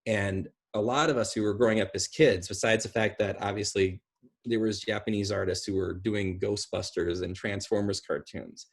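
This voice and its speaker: A man's voice: lowish voice, steady tone, moderate pace, gravelly, slightly rough. A man in his forties chatting effusively and ramblingly on a video call from a room at home. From small rural town. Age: 40s. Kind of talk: casual discussion on a video call. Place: small room at home, indoors. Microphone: computer on a video call.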